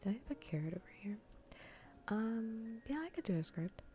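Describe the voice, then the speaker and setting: A woman livestreamer chatting in a soft, breathy ASMR whisper, casual, teasing and blunt, close to the microphone. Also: fairly high pitch, animated, moderate pace, slight background noise. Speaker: livestreamer playing a game. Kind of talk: whispered ASMR chat while gaming.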